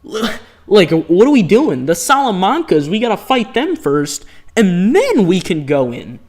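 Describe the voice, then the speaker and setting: A man's voice: high voice, huge pitch swings, unhurried, darkish tone. A man live-streaming a dating game, reacting with sarcastic, incredulous commentary and reading options aloud.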